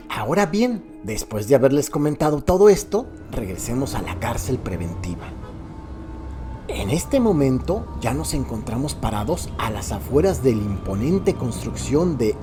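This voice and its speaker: Animated Mexican man narrating over music. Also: mid-range voice. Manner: theatrical, descriptive storyteller. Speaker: narrator of a radio crime serial. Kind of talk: dramatized scripted narration, present-tense guided tour. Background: background music.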